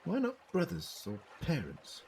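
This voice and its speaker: Man playing a curious, lively time-traveling Doctor. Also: a little high, a little slow, slight background noise, exaggerated voice. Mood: inquisitive, musing. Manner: inquisitive, musing, precise. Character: male time-travelling Doctor, curious, eccentric, quick-thinking investigator. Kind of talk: audio drama acting.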